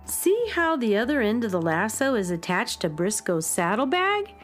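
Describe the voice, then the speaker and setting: An actress playing an eager young music student and knowing fan, explaining emphatically in a children's radio drama. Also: female voice, on the high side, big pitch swings, normal speed.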